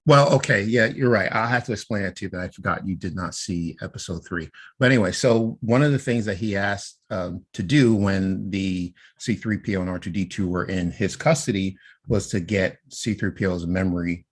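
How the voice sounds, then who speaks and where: A man's voice: low voice, moderate pace, slightly rough. A man co-hosting a casual podcast, laying out his fan theories and often checking in with "right?". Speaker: podcast co-host.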